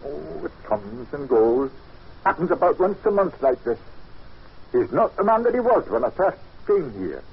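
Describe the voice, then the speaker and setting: Foreboding servant, old radio play: cryptic, respectful, very varied intonation, muffled vintage sound. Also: male voice, on the high side, very animated, moderate pace, gravelly. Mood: deferential. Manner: deferential, ominous, cryptic. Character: grave, foreboding Scottish male servant McJobson. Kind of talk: old time radio drama acting. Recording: dull, noisy old radio recording.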